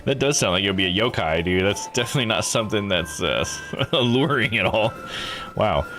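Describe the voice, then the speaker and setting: Man, low register, expressive swings, relaxed let's-play chatter, stumbles over words, background music. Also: a little fast. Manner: thinks aloud, stumbles over unfamiliar words. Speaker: streamer playing and reading a visual novel. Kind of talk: casual live let's-play commentary mixed with read-aloud.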